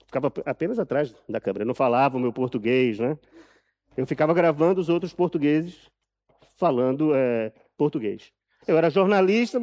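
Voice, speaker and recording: A man with a Brazilian accent giving a live talk from a prepared text, telling his story deliberately and emphatically, repeating phrases for effect. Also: fairly high pitch, a little mellow. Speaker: journalist and author speaking at an event.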